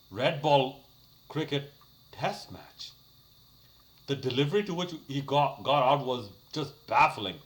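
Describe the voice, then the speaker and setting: A man's voice: medium pitch, fairly bright tone, rough recording. A young man talking casually to his channel, giving a rambling review of a cricket match full of fillers and restarts. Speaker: cricket YouTuber.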